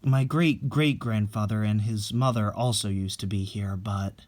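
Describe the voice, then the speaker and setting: Reader playing a calm young husband, measured pace. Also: mid-range voice, voice pushed down, lightly acted. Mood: matter-of-fact. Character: calm reassuring young husband from an old pedigreed family. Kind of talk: dramatic reading of a horror manga story.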